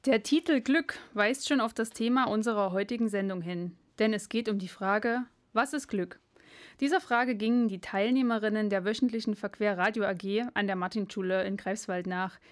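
A woman presents a community school radio show. She reads her prepared text in a clear, even voice at an ordinary middle pitch. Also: moderate pace. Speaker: school radio club leader and co-host.

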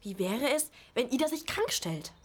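Schoolgirl eldest sibling played by a female, high-pitched, practical and instructing, children's audio drama. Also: big pitch swings, moderate pace, husky. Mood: planning. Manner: explaining, instructing. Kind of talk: children's radio play acting.

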